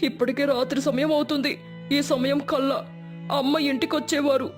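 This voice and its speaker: A woman's voice: sits high, steady tone, moderate pace, faint music behind, pitched above the performer's own voice, lightly acted. A woman voicing a small, innocent village boy, caring and anxious, in a moral storybook tale. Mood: sad, anxious.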